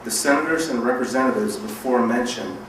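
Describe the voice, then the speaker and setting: A man's voice: medium pitch, a little fast. A man giving a formal public reading of a legal document. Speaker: bar association officer reading aloud. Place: large reverberant room at a public event. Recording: very echoey hall, distant mic, clear noise.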